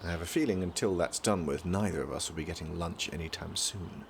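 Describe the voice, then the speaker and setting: Man playing a deep, gravelly, deadpan young prince. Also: a little fast, background noise. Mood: sardonic, bored. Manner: deadpan, formal, witty. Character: dry, sardonic young prince, reluctant university student. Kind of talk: audio drama acting.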